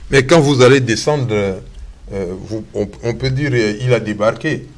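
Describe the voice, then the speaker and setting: A man interviewing a guest on a TV show, asking his questions haltingly with frequent filler sounds. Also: medium pitch. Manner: hesitant, many filler sounds.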